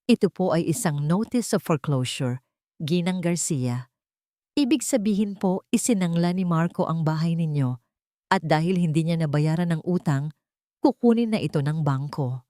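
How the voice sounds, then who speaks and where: Female narrator playing Filipino lawyer, grave, measured, courteous, scripted story dialogue, dry recording. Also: low-pitched, animated, dark tone, no echo. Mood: grave, explanatory. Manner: formal, measured, polite. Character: serious, formal lawyer explaining bad news.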